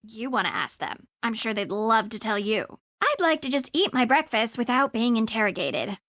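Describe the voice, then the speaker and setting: Snippy female, irritated, sarcastic, higher voice, lively intonation, video game. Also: normal speed, dry recording. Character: irritated, snippy woman who resents being questioned.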